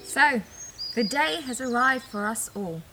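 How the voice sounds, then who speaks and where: Young daughter on the edge of a new life woman acting, emotional, higher register, naturalistic radio-play delivery. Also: lively intonation, a little slow, over music. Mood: wistful. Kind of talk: naturalistic audio drama acting.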